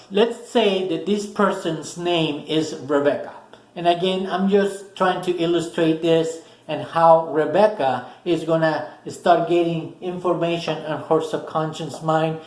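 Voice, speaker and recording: A man with a Spanish accent and a fairly high voice talks through a self-help lesson in short, patient phrases, often repeating himself. His first language is Spanish. The room around him is echoey, so his voice rings a little. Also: a little mellow. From Spanish-speaking country. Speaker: self-help video host. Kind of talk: explanatory talk to camera.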